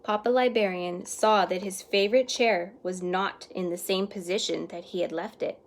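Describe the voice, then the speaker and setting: A woman teacher reading a children's story aloud expressively, stretching words and hushing some for emphasis. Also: medium pitch, fairly even intonation, normal speed.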